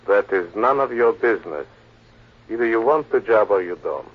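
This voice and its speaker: A cool, calculating villain in a forties radio crime play. The voice is low and husky, the speech curt and formal, the recording old and dull. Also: male voice, lively intonation, moderate pace. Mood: cool, menacing. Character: cool, calculating gentleman who hires killers. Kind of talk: 1940s radio drama acting.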